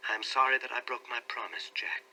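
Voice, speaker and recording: A man's voice: medium pitch, a little fast, pushed and bright, a little hiss or hum, low-quality recording. A man voicing a distraught, secretive wife, pleading with breathless, impassioned desperation in an audiobook story. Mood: subdued apology.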